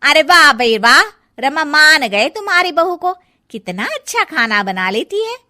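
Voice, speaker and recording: A nosy middle-aged village lady, voiced by a woman in a cartoon tale. She exclaims loudly in a high, swooping voice. Her manner is effusive and gushing. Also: very animated, a little slow, exaggerated voice. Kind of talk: Hindi cartoon story dialogue.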